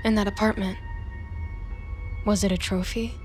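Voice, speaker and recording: A woman's voice: mid-range voice, fairly even intonation, moderate pace, a little mellow, close to an everyday voice. An actress playing a mousy, self-pitying young woman in a confessional audio drama monologue, wry and self-justifying. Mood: brooding. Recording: music under the voice. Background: music.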